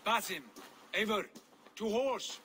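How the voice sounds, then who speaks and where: Proud Viking jarl, high male voice, sharp, forceful, insistent, video game drama. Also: takes it slowly, full character voice. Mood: urgent command. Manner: commanding, insistent. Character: commanding Norse jarl, secretive, urgent, proud.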